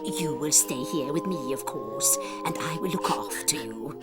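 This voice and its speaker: An actress playing a doting, gravely dramatic old Norwegian grandmother in an audiobook drama, telling things with theatrical emphasis. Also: low-pitched, lively intonation, over music. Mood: firm, tender. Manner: theatrical, emphatic, slow and deliberate storytelling.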